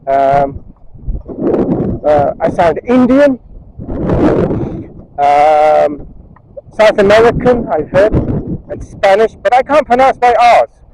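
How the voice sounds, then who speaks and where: A man's voice: high voice, lively intonation, slow, pauses often. A man filming a rambling walking vlog outdoors on a windy beach, chatting to his viewers through a chest-strapped camera mic. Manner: chatty, wandering asides, talks to viewers. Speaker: travel vlogger. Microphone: chest-strapped action camera mic. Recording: wind noise on a body camera mic.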